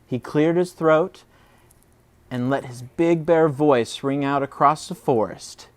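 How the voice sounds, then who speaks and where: A man reading a children's story aloud in an expressive, dramatic way. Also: on the high side, slow, no echo. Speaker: preschool storybook reader. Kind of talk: animated children's story narration.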